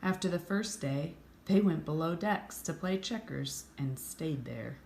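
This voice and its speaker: Woman reading a storybook, unhurried and expressive. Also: low voice, vocal fry. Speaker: teacher reading a picture book aloud. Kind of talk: storybook read-aloud with chatty asides. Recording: roomy echo, faint background noise.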